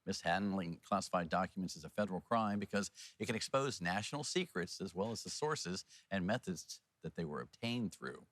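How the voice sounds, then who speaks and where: American man, news anchor, lowish pitch, folksy and informal delivery, very dry close sound. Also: expressive, brisk, few pauses, fairly bright tone, no echo. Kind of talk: scripted news reading mixed with casual banter.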